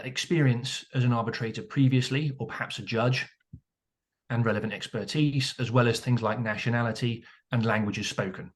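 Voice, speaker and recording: A man on a webinar panel who sets out points in an orderly, methodical way. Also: medium pitch. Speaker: arbitration lawyer panelist.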